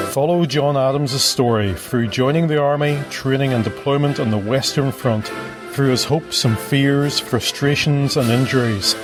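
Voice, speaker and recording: A man narrating a scripted podcast promo in a measured, earnest delivery. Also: medium pitch.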